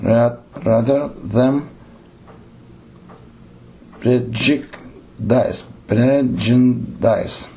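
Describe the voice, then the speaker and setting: A man with a Lithuanian accent and Lithuanian as his native language, giving a lecture on theatre directing. He reads out numbered points haltingly and keeps correcting himself. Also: medium pitch, mellow, slight background noise. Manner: halting, frequent self-corrections and restarts.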